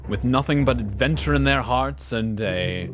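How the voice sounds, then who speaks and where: A man playing a measured, dramatic storyteller, narrating an adventure serial from a script. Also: mid-range voice, background noise, voice pushed down, close to an everyday voice.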